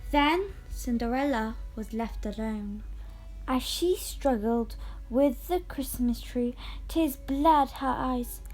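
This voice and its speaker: A young female storyteller reads a fairy tale aloud. Her voice is high and her delivery is slow and scripted, with music underneath. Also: darkish tone, rough recording. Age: child or very young. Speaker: storybook reader.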